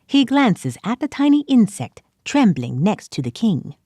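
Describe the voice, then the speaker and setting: A woman narrating an audio drama in a calm, measured way. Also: medium pitch, dry recording, clean studio sound.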